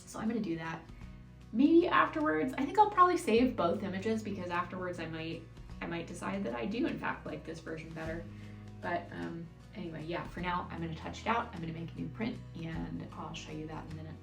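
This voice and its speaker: A woman speaks in a fairly low voice with plenty of creaky fry at the edges. She muses aloud informally, like a photographer chatting to followers in a vlog. The room around her rings noticeably, and faint music plays behind. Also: a little fast. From Japan. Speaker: photographer presenting a studio video. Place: indoor studio. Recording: roomy, distant mic, music behind.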